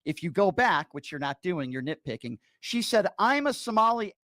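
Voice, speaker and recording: A man with a somewhat high-pitched voice argues in a heated debate. He is combative and interrupts, and the sound is very dry, with no room to it. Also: sing-song, normal speed, a bit bright, dry recording.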